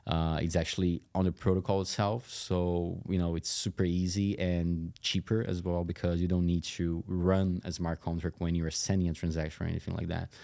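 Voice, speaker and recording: A man with a very low voice full of fry, explaining as a podcast guest. His delivery is conversational and halting, with a narrow melody that stays fairly even. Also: no echo. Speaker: company CEO interview guest.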